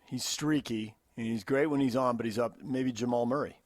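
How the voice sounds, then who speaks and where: An American man hosting unscripted sports talk on radio, explaining his points in a measured way. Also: medium pitch, steady tone, a little mellow, no echo, studio quality.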